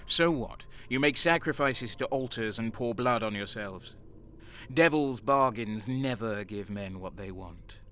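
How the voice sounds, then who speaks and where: A man playing a defiant, embittered renegade soldier in a dark sci-fi audio drama, brooding and intense. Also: mid-range voice, a little slow, slight background noise, natural voice. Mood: defiant, resentful.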